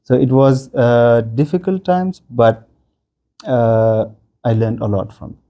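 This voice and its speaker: A man's voice: mid-range voice, steady tone, slow pace, mellow. A man in his thirties from Afghanistan, whose first language is Pashto, answering interview questions in a hesitant way with many fillers and restarts. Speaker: interview guest.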